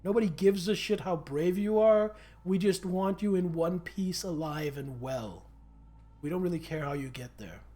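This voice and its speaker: Hoarse man streaming, blunt and earnest. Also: sits high, normal speed, warm tone. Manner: blunt, earnest advice with casual swearing. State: hoarse, irritated throat. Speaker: game streamer. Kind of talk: casual chat while playing.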